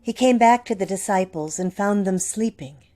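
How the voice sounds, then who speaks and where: A woman narrating a gospel passage in a church dramatic reading, calm and steady. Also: mid-range voice, normal speed. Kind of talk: scripture narration. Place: church sanctuary.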